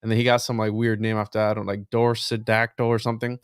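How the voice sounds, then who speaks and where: A man's voice: lowish voice, level tone, quick pace, clear, resonant tone, no echo, clean studio sound. A man hosting a podcast in casual talk, reading messages aloud and addressing listeners informally and directly. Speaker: podcast host.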